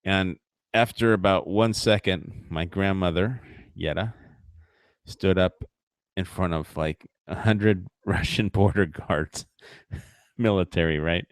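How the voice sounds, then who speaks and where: Deep-voiced man ranting casually. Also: slow pace, dry recording. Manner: profane, mocking, rambling with frequent fillers. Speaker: livestream host. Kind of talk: casual rambling commentary.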